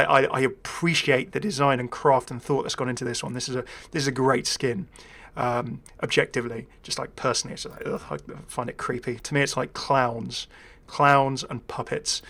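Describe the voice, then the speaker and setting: A man's voice: medium pitch, a bit bright. Chatty man casually commentating on a game. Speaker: gaming video host.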